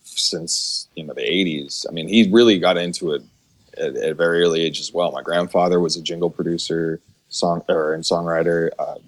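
American guy, low deep voice, musician chatting on a podcast, earnest and easygoing. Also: steady tone, a little fast. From Chicago, United States. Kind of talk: casual interview chat.

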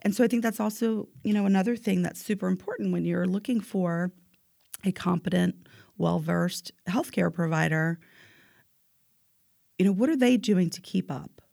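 A woman's voice: fairly low pitch, moderate pace, creaky, dark tone, no echo, clean studio sound. A woman in her forties talking emphatically in a casual health chat, often tagging her points with "right?". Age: 40s. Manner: emphatic, keeps asking "right?".

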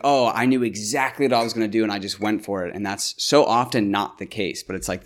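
A man hosting a casual interview, reflecting on his guest's story with enthusiasm. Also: lowish voice. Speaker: podcast host.

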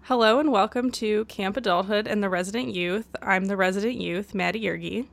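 A woman's voice: medium pitch, fairly quick, gravelly, soft background music. Young woman co-host, hedging friendly questions. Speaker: podcast co-host. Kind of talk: casual interview chat.

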